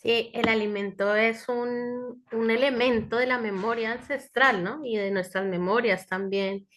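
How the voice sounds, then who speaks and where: A woman's voice: on the high side, steady tone, unhurried. A woman hosting an interview, introducing her guest and asking questions in an affirming way. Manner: warm, affirming, asks questions with tag "¿no?". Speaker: interview host.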